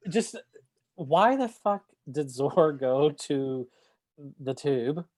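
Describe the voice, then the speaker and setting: A man on a book club podcast panel, talking casually in short, halting bursts. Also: fairly high pitch, lively intonation, darkish tone, no echo, clean studio sound.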